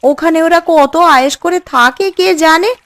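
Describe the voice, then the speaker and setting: A woman's voice: very high-pitched, unhurried, a bit bright, no room sound, full character voice. A woman voicing a homely, bossy and fretful village mother in a cartoon. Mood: homely, curious. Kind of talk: cartoon character dialogue.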